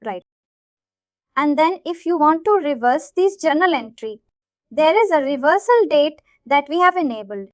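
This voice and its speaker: A woman working as a software trainer, narrating an informal screen-share tutorial in an explanatory way, often checking in as she goes. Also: sits high, lively intonation, normal speed, no echo. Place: voice-over of a screen recording. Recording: dull, lo-fi close dry audio.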